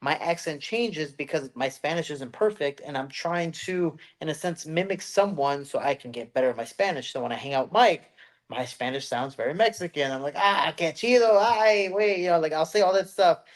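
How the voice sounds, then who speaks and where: A man from the United States co-hosting a podcast, telling stories in a rambling, animated way and slipping into put-on voices. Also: a little high, fairly quick. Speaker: podcast co-host. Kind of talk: casual chat.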